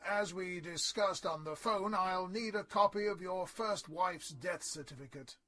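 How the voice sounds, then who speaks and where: Man acting a high-voiced, courteous reverend. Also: unhurried, pushed and bright, heavily acted. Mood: polite, businesslike. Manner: polite, formal. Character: courteous, businesslike male reverend. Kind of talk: gothic audio drama acting.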